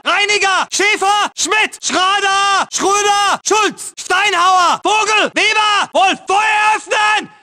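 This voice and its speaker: Shouting, very high-pitched soldier. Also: male voice, heavily acted. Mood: urgent, commanding. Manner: barking short, urgent combat orders. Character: aggressive male German Second World War soldier. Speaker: video game voice actor. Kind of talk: shouted battlefield barks in a war shooter.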